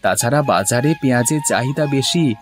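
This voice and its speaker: A man playing a mild village farmer and father in a cartoon moral story, speaking in a plain, gentle way. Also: medium pitch, fairly quick, lightly acted. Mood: matter-of-fact. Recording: music under the voice.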